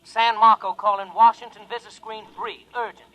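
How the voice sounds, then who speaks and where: An actor playing a polite, businesslike telephone operator in 1950s radio drama style. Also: male voice, high-pitched, fairly even intonation, moderate pace, highs cut off, natural voice.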